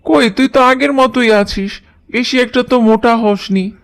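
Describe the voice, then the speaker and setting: A man's voice: very high-pitched, normal speed, a bit bright, clear, resonant tone. A man voicing a greedy, gullible lion king in a children's cartoon, talking down to others in a bossy way. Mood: pleased, gullible. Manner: imperious, talks down to others. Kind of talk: children's cartoon story dialogue.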